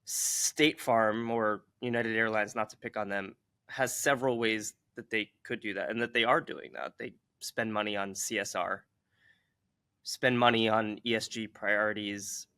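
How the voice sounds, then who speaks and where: A man working as an interviewer, speaking in a measured, articulate way as he builds up long, considered questions. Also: lowish voice, fairly even intonation, sharp tone, clean tone, no echo.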